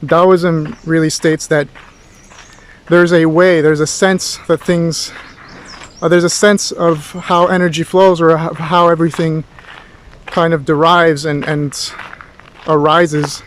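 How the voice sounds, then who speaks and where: A man's voice: a little high, little pitch movement, slow pace, a little hiss or hum. A man giving an informal philosophy lecture as a tutor, thinking aloud in a rambling, thoughtful way with rhetorical questions.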